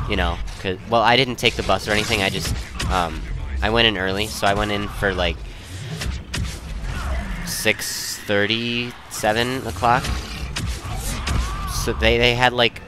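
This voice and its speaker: A young man telling personal stories in a casual, rambling way, often interrupting himself to second-guess his wording. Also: fairly low pitch. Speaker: storyteller.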